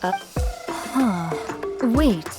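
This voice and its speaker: A woman's voice: fairly high pitch, expressive, very slow. A woman playing a chatty, earnest, slightly scatterbrained young villager in a video game. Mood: puzzled. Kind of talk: video game dialogue acting. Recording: music under the voice.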